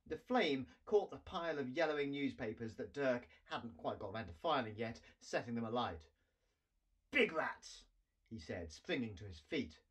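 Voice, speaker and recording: A man in his forties giving an expressive reading of a children's story, as its author. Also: medium pitch, slightly rough. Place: small cluttered room indoors.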